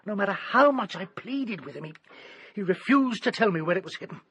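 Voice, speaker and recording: A desperate, haunted British gentleman doctor in a radio drama. His delivery is ornate and grim, dwelling darkly on each phrase. The tone is bright and forceful, with faint noise behind it. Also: high voice, animated, a little fast. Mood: anguished confession. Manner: ornate, theatrical, dwells on grisly detail.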